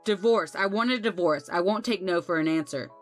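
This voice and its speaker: A woman's voice: lowish voice, fairly quick, soft background music, natural voice. A woman narrator voicing an indignant older father-in-law in a story, firm and snapping. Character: indignant, stern father-in-law, an older man, demanding divorce.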